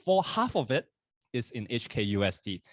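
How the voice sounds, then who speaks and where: A man in his twenties, not from Hong Kong, co-hosting a podcast at a live university event in a relaxed, chatty way. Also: mid-range voice, moderate pace, no echo, clean studio sound. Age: 20s. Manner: relaxed, chatty, self-correcting. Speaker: podcast co-host. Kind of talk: casual chat at a live event.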